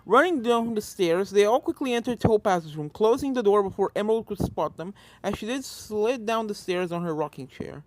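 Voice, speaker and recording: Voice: medium pitch, expressive, normal speed, few pauses, no room sound, rough recording. A narrator reading a scripted fan fiction story aloud.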